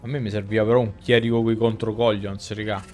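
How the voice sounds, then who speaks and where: A man's voice: lowish voice, little pitch movement, fast talker, a little mellow. A man in his thirties with a Roman accent giving slangy, exclamatory live commentary as a game streamer, talking to his chat and characters. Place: indoors at a streaming desk. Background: game music.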